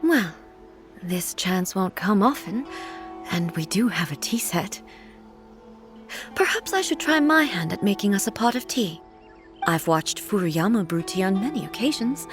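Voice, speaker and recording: A woman voicing a reserved, grieving divine female ruler in a video game cutscene, speaking formally and softly, often close to a whisper. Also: medium pitch, lively intonation, music in the background, natural voice. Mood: gentle. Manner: measured, formal, soft-spoken, often near a whisper.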